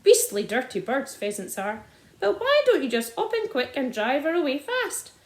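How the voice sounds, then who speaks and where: A woman's voice: high-pitched, big pitch swings, normal speed. A woman voicing a jovial, old-fashioned country police sergeant who drops his h's. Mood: hearty. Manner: dropped h's, rustic dialect. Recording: roomy echo.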